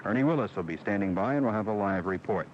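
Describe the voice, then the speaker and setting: A man's voice: lowish voice, animated, fast. An American man anchoring local radio news, reading headlines and weather on an old AM broadcast. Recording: dull AM radio, faint noise.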